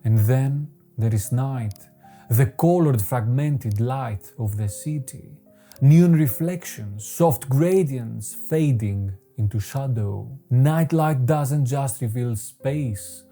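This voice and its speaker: Dark-voiced man. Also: mid-range voice. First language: Greek. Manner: measured, poetic, deliberate phrasing. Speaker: photography channel host. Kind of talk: scripted voice-over narration. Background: faint guitar music.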